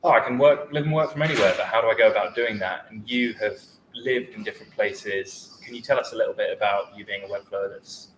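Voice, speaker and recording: Male podcast host, chatty, lowish voice, roomy sound, faint noise. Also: fast, hardly any pauses, fairly bright tone. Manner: chatty, friendly questioning. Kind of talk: casual podcast interview.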